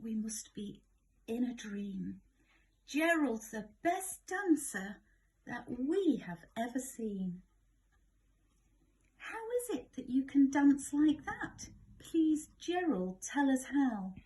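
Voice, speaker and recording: A woman voicing excitable, outspoken jungle animals in a children's storybook, exclaiming emphatically. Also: high voice, takes it slowly, pitched above the performer's own voice, full character voice. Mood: amazed. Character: crowd of jungle animals, loud, mocking then amazed.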